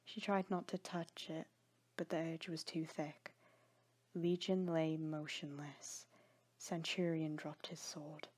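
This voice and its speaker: A woman with a breathy voice narrating a scripted dramatic story. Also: deep voice, flat delivery, takes it slowly, a little mellow.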